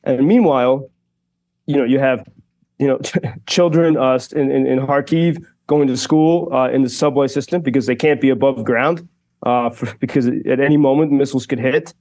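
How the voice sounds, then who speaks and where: A man's voice: medium pitch, normal speed, darkish tone. A man reporting live for a radio show, talking thoughtfully with many fillers and restarts. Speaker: radio correspondent. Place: Kyiv.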